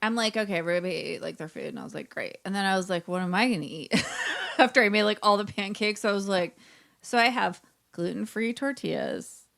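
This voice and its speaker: A woman's voice: medium pitch, a little fast, creaky, fairly bright tone. An American woman co-hosting a podcast, telling rambling, self-deprecating stories in casual chat and swearing freely. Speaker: podcast co-host.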